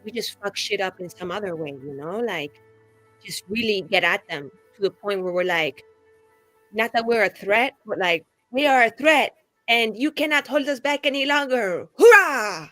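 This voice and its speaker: A woman's voice: mid-range voice, expressive, normal speed, a bit bright, soft background music, natural voice. A woman playing a brash, grandiose young female mage revolutionary in a tabletop game, theatrical and slangy. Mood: scheming, fired up. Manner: theatrical, self-important, casual slangy phrasing.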